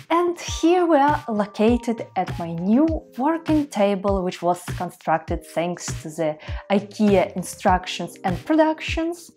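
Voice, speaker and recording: A woman's voice: mid-range voice, a little mellow. A young woman from Russia with a Russian accent talks casually to the camera from a desk in a small room at home, earnest and chatty, with careful English and small restarts. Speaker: vlogger.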